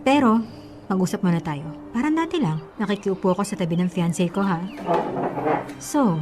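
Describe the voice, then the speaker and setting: An actress playing a cutting, possessive fiancée in a Filipino radio romance drama, falsely calm and condescending, with a Filipino accent. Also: female voice, mid-range voice, normal speed, background noise, lightly acted. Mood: sarcastic, falsely calm. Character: cutting, possessive fiancée confronting her rival. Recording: prominent music behind the voice.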